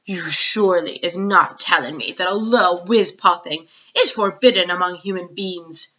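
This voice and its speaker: A woman voicing a big, kindly, excitable old male giant from a storybook, with mangled grammar and nonsense words. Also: lowish voice, moderate pace, lo-fi sound. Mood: gleeful.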